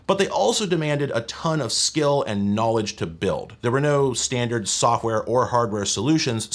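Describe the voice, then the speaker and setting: A man in his thirties hosting a tech history video, reading scripted narration in a conversational way with wry, casual asides. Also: mid-range voice. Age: 30s. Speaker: host of a tech history show.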